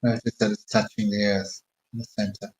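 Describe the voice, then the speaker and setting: A man slowly talking listeners through a guided meditation with calm, murmured instructions. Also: on the low side, slight background noise, poor audio. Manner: slow, soothing, murmured instructions.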